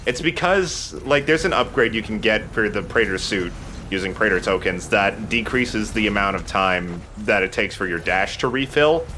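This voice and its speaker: A man's voice: on the low side, normal speed, noisy background. A man hosting a video game let's play, chatting casually in a jokey, rambling, profane way. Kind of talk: casual gameplay commentary with co-commentary banter.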